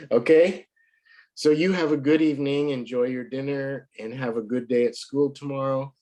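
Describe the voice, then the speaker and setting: A man teaching a child in English, his voice a little hoarse from a cold. He speaks slowly and patiently, at a middle pitch, and keeps clearing his throat. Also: fairly even intonation, warm tone. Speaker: English tutor. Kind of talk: online one-to-one lesson.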